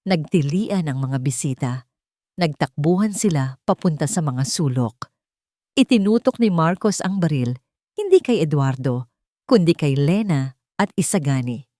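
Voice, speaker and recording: A woman's voice: low-pitched, expressive, unhurried, dark tone, no echo. A woman narrating a story in a scripted, dramatic and suspenseful style.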